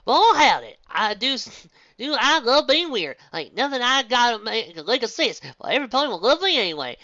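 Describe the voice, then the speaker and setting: Lady doing a stubborn cartoon farm pony gal, american country accent, folksy n grumbly, raised bright voice. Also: on the high side, big pitch swings, brisk, no room sound, lo-fi sound, voice pushed up. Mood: mocking, wild. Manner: folksy, emphatic, exasperated.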